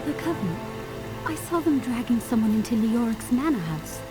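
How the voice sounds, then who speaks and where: A woman's voice: a little high, sing-song, moderate pace, loud music behind the voice. An actress playing a rattled, talkative woman thief who has been rescued, in a video game. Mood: sheepish, earnest.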